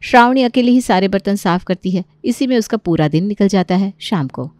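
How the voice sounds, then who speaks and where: A woman's voice: medium pitch, lively intonation, fairly quick, darkish tone, no echo. A woman narrator reading a scripted family story.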